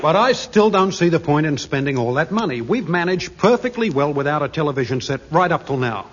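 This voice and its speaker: Hesitant, put-upon sidekick in a 1950s radio sitcom, highish voice, faint background noise. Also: male voice, very animated, brisk. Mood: doubtful. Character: put-upon, protesting, simple-minded male lodger and pal. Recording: dull, faintly noisy 1950s radio recording.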